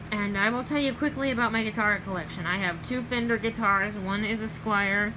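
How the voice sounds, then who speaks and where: A young woman with a Southern US accent chats through a late-night vlog at a middling pitch. She rambles, corrects herself and lets sentences fade quietly. Steady background noise sits under her voice on the recording. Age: young adult. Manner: rambling, with many ums and self-corrections. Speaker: vlogger.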